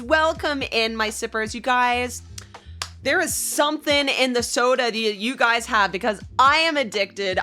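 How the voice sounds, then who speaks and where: A woman's voice: on the high side, sharp tone. A young woman streaming from home, chatting casually with viewers, greeting them by name and often breaking into giggles. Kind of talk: casual chat with live viewers. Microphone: desk mic, loud.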